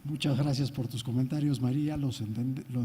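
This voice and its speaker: A man with a Peruvian Spanish accent speaking on a forum panel, rapid and halting, with frequent restarts. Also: mid-range voice, fairly even intonation, dark tone, a little hiss or hum. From Peru.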